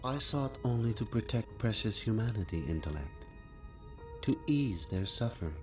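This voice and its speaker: A man playing a calm, solemn, protective artificial intelligence in a video game, speaking in a formal, grave, measured way. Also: lowish voice, over music, natural voice. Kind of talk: scripted video game acting.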